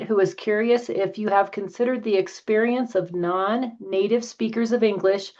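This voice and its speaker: A woman's voice: medium pitch, lo-fi sound. A woman moderating a session and relaying questions from the audience.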